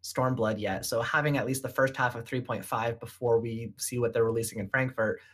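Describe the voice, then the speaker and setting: Male podcast co-host, mid-pitched, casual chat, steady and plain-spoken. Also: a little fast, breathy edge. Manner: matter-of-fact, explains steadily in long sentences.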